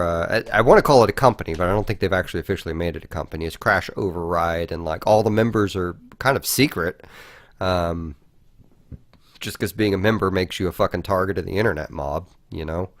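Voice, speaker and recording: A man with a fairly low voice co-hosts a casual podcast discussion. His talk is informal and peppered with profanity. The recording is dry, with very little room sound. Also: brisk, no room sound. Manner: frequently swearing, informal. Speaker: podcast co-host.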